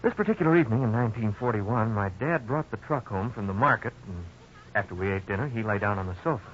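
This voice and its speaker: A man's voice: lowish voice, quick pace, a bit bright, lightly acted. An actor playing an earnest, boyish husband in his twenties in a 1950s radio drama. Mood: somber, reminiscing. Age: 20s. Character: upbeat young husband and father, advertising salesman. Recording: muffled, noisy 1950s radio recording.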